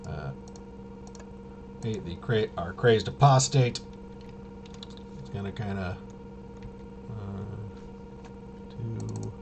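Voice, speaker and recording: A man's voice: on the low side, extremely slow, gravelly, pauses often, noisy background. A man casually walking through tabletop gameplay, thinking aloud and hesitantly muttering to himself as he works things out. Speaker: solo tabletop RPG player and presenter.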